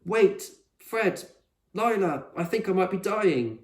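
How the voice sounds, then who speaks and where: Storybook schoolgirl, played by a man: prickly, awkward, exclamatory, lifted pitch, echoey room. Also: animated, slow. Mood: panicked. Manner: suspicious, hesitant, exclamatory. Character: awkward, defensive, easily alarmed young schoolgirl.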